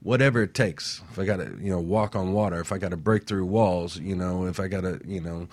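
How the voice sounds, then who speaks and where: A man's voice: low-pitched, a little fast. A man co-hosting a talk show in a casual, motivational conversational style.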